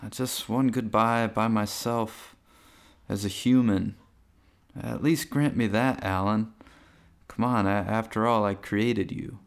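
A man's voice: lowish voice, fairly even intonation, slow, creaky, clean tone. A man playing a pleading, hesitant songwriter in a radio drama, meek and stammering. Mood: nervous pleading. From Indiana, United States. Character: pleading, hesitant male songwriter facing his killer creation.

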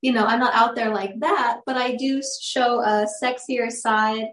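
Upbeat young actress guest, slightly high voice, roomy echo on her mic. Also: fairly even intonation, measured pace, fairly bright tone, slight background noise. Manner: upbeat, laughs easily, storytelling. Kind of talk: casual interview chat. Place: room with audible airplane noise outside. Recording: echoey room.

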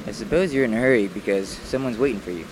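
A man playing a brisk, insistent doctor in an old-time radio play, courteous on the surface with a quiet menace. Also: lowish voice, animated, background noise, lightly acted. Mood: coolly courteous. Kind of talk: 1940s radio play acting. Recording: reverberant hall sound.

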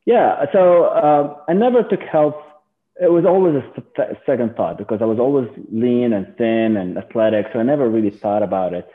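A man from Lebanon, a physician guest in an interview, talking in a halting way with many fillers and restarts. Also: mid-range voice, animated. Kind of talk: casual interview. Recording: tinny phone line.

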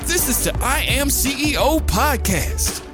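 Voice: high voice, animated, a little slow. A polished announcer reading a scripted promo intro for a show. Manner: polished salesy announcer delivery. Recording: voice over loud music bed.

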